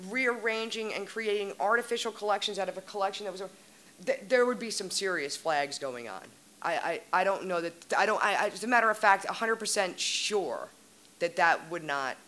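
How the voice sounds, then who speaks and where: A woman librarian on a symposium panel, reading prepared remarks and then commenting off the cuff in an earnest, halting way. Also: medium pitch, bright, forceful tone. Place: conference room.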